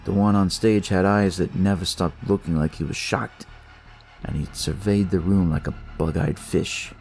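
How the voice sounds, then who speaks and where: Deep, gravelly, unhurried male storyteller. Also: steady tone, a little mellow, soft background music. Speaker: narrator. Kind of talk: first-person story narration, noir detective tale.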